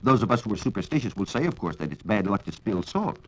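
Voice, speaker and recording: A man with an American accent giving a formal, measured, scripted 1940s radio science talk on a dull vintage recording. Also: on the low side, big pitch swings, slightly rough. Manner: formal, measured lecturing with rhetorical questions. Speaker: science reporter and radio speaker. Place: radio studio.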